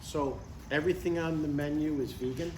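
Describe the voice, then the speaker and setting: A man's voice: on the high side, steady tone, fairly quick, lightly acted. A man in his sixties playing an earnest, stubborn father who gets defensive, in a live comedy table read. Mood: upbeat, earnest. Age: 60s. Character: earnest, stubborn sixty-two-year-old father chasing a writing career. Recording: echoey live room, distant mic, faint noise.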